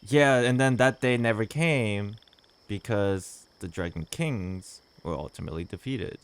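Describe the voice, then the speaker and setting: A man's voice: fairly low pitch, a little slow, clear, resonant tone. Man thinking aloud while gaming. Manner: reflective, theorizing aloud. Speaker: gaming streamer. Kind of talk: casual playthrough commentary. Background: game music.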